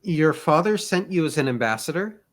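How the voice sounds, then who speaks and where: A hesitant young king, read by a man, asks his questions with polite, hopeful uncertainty. The voice is a plain male reading voice at ordinary pitch. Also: lively intonation, normal speed, lightly acted.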